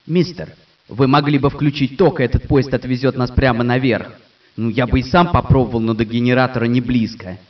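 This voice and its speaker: A man's voice: mid-range voice, fairly even intonation, brisk, recorded from a distance, highs cut off, lightly acted. An actor playing a hesitant, apologetic male security guard in a game.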